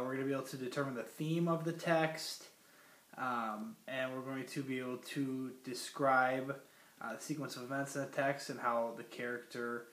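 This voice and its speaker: A young man from Minnesota with a cold, teaching a classroom lesson and reading a story aloud in a plain, teacherly way with many fillers. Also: mid-range voice. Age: young adult. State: has a cold. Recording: roomy sound from far off.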